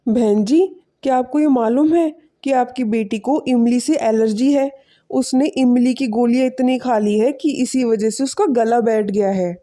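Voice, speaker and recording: Woman playing a humble, high-voiced village man. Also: a little slow, mellow, close to an everyday voice. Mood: earnest, explaining. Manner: polite, earnest. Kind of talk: animated moral storybook acting.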